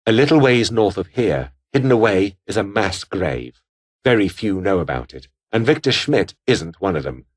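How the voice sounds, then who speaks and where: Game role: pompous, scheming British officer, coldly ambitious, with widely swinging intonation. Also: male voice, lowish voice, expressive, normal speed. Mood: conspiratorial, cold. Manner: pompous, formal, disdainful. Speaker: video game voice actor. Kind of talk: scripted game character dialogue.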